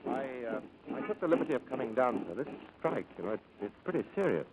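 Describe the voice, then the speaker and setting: Concerned English gentleman's aide male actor, polite, animated pitch, 1940s radio drama with clear noise. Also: fast, natural voice. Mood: deferential. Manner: polite, earnest. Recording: noisy old radio drama recording.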